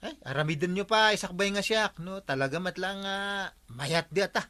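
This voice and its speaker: An actor playing a chatty, nosy tricycle driver in a radio comedy drama, with an Ilocano accent. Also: male voice, high voice, normal speed, pushed and bright. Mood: curious.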